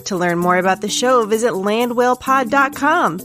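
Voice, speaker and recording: A woman announcer with a slightly high voice reads scripted credits. She has dry humour, and light music plays behind her. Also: normal speed, fairly bright tone.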